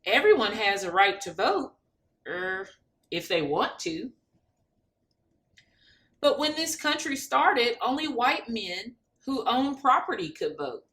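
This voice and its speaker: Slow, very expressive woman reading aloud. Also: on the high side. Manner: expressive, explains the pictures as she reads. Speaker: guest storybook reader. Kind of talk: children's read-aloud narration.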